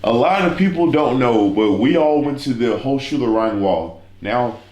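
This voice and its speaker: A man's voice: mid-range voice, animated, moderate pace, darkish tone, lo-fi sound. A man hosting a casual interview, talking in an informal, slangy and profane way. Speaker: host interviewing musicians. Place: living room of a villa. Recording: echoey room.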